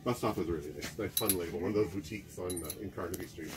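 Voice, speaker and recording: A man curator chatting casually about clothes on a rack, often pausing to search for names, recorded by a camera in a museum basement storage room. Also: low voice, background noise. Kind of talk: casual show-and-tell chat.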